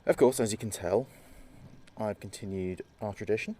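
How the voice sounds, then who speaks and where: Man playing grieving son, low male voice, reflective, halting, intimate audio drama monologue. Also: darkish tone, lightly acted. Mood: subdued, wistful. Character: grieving son recording messages for his late father.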